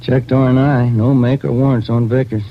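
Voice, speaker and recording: An actor playing a plain-spoken police detective partner in a 1940s radio drama, asking brisk, matter-of-fact questions. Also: male voice, medium pitch, little pitch movement, natural voice. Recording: very noisy, dull vintage radio recording.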